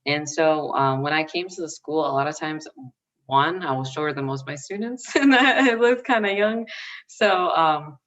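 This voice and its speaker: A woman's voice: low voice, moderate pace, a bit bright. A woman speaking casually on a panel, chatty and laughing often, with lots of filler words. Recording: dull sound, mic far off.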